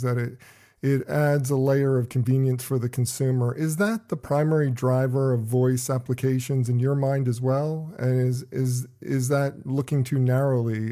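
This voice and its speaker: Mellow-voiced male podcast host. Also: medium pitch. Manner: asks guests probing questions. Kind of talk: casual podcast discussion.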